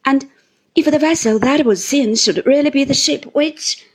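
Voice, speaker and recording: A woman voicing an earnest, sorrowful young gentleman in a classic novel reading, speaking formally, gently and haltingly. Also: high voice, voice pushed up, lightly acted. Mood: faltering dread.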